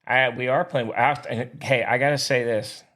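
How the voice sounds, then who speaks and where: A man co-hosting a sports podcast, chatting casually in an animated, slangy fan's way. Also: mid-range voice, normal speed. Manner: animated, slangy fan talk.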